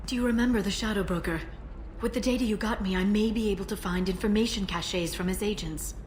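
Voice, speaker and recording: An actress playing a composed, determined female information broker in a sci-fi video game, measured and earnest. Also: mid-range voice, a little mellow, close to an everyday voice. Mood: earnest, guarded. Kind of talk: scripted video game dialogue. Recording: noisy ambient background.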